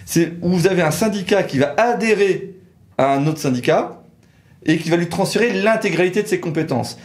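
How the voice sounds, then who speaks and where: A man's voice: high voice, lively intonation, a little fast. A man giving a technical legal explanation in a methodical, precise way, as an expert in discussion. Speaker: lawyer explaining procedures. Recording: roomy, echoing sound.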